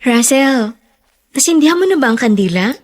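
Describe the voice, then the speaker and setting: A woman's voice: high voice, expressive, moderate pace. An actress playing a practical young woman in a radio drama who is easily alarmed. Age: young adult.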